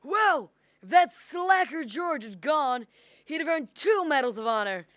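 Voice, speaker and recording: A woman's voice: high voice, animated, unhurried, fairly bright tone, no room sound, clean studio sound. A young woman acting a forgetful, flustered old uncle in a radio drama, stammering and exclaiming. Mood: jovial, teasing. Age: young adult. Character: forgetful, flustered old Uncle Billy, male bank partner.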